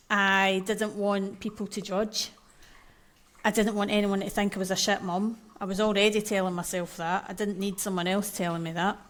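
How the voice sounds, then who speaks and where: A Scottish woman in her thirties, a podcast guest who tells her own story candidly and with animation in casual chat. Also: medium pitch. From Scotland. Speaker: podcast guest, maternal mental health coach.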